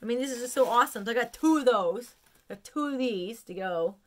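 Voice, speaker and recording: A woman in her forties with an American accent, chatting casually and excitedly to camera in a room at home. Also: a little high, fairly quick. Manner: excitable, gushing. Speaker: vlogger showing a haul of collectibles. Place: small room at home, indoors.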